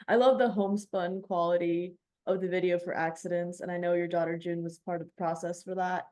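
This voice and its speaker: A woman's voice: fairly low pitch, steady tone, brisk, a little mellow. A woman working as a radio DJ, asking interview questions and responding briefly to her guest.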